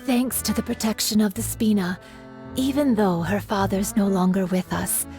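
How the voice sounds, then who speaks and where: An actress voicing a polite, grateful mother in a video game, speaking with gentle courtesy. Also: mid-range voice, steady tone, normal speed, over music, lightly acted.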